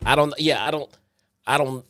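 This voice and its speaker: A man's voice: a little high, moderate pace, a bit bright, no echo. A man from Chicago, co-hosting a wrestling podcast with dry, teasing remarks. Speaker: podcast co-host. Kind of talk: casual chat.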